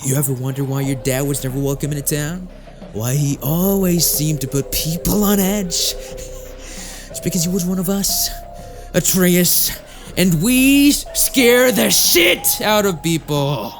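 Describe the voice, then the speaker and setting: An actor playing a hardened exorcist warrior, a blunt mentor who speaks in a weighty, lecturing way in an audiobook drama. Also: male voice, fairly high pitch, unhurried, music in the background. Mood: angry, exasperated.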